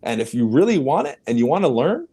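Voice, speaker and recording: A man's voice: huge pitch swings, fast talker, dark tone, breathy edge. Emphatic man with punchy delivery. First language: Spanish. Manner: emphatic, punchy, sweeping pitch jumps. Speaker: guest real estate coach. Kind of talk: motivational podcast interview.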